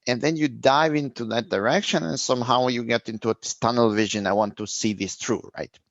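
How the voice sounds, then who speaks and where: Middle-aged man, interview guest, reflective and halting, dry muffled sound. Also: medium pitch, dry recording. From Serbia. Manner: thoughtful, searching for words, frequent restarts. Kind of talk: conversational interview answers.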